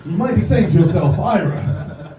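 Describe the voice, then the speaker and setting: A man's voice: high-pitched, animated, moderate pace, heavy background noise. A young English man doing a stand-up set with dry, self-mocking asides, recorded from a distance in a comedy club. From England. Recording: roomy, distant, slightly dull club recording. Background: audience laughter.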